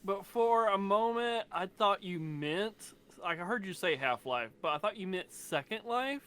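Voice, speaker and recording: A man's voice: high-pitched, expressive, a little slow, a bit bright, smooth. A man in a casual, joking panel chat, with a sarcastic, irreverent manner.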